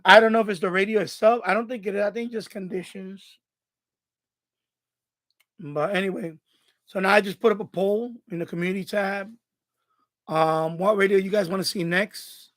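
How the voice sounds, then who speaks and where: High-voiced New man, chatting casually. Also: a bit bright, dry recording. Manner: rambling, repeats himself. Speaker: host of a radio hobby live stream. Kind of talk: casual live stream chat.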